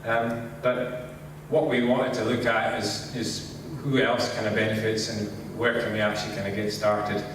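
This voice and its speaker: A middle-aged man from Scotland with a Scottish accent, giving a relaxed conference talk and saying "kind of" constantly. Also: lowish voice, moderate pace, background noise. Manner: relaxed, filler-heavy, says "kind of" constantly. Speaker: conference speaker. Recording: echoey hall, distant mic.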